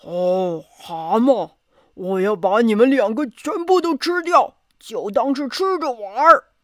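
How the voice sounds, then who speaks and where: An enormous, menacing monster from a bedtime storybook. She booms each word slowly and loudly, recorded dry and close. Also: lively intonation, no echo. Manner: loud, booming, menacing. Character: huge terrifying monster, greedy and menacing.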